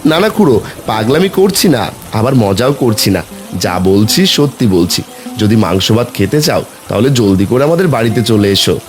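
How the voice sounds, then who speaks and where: A man's voice: lowish voice, big pitch swings, normal speed, faint music behind. A man voicing a kindly village father, earnest and reassuring, in a children's fairy tale cartoon.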